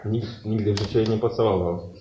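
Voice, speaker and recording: A man's voice: lowish voice, steady tone, a little fast, warm tone, lo-fi sound. A man narrating an improvised comic fairy tale live, in an echoey room with background noise. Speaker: improv storyteller and performer. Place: live venue with audience.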